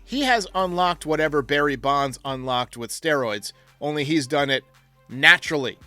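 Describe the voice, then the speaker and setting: A man with a slightly high voice hosts a sports talk show over faint music. He is opinionated and talks his way through each option in a conversational commentary style. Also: animated, moderate pace, sharp tone, no echo.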